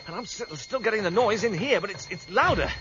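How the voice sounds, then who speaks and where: An actor playing a tense, sardonic boss making a dangerous climb and reporting back in halting, urgent speech, in a radio drama. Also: male voice, high voice, expressive. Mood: uneasy. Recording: dull, noisy radio drama recording.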